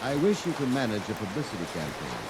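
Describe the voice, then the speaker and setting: An actor in a 1950s radio drama playing a calm, formal male Martian who poses as a businessman, speaking in a stiff, precise, measured way. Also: lowish voice, very noisy. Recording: vintage radio recording under loud rain.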